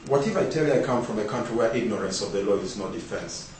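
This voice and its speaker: Man from Uganda, conference speaker, lowish voice, heavy echo in a large hall. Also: animated, fast, darkish tone, slight background noise. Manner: rhetorical, repeated questions. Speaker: conference presenter. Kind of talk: conference presentation. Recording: echoey hall, speaker far from mic.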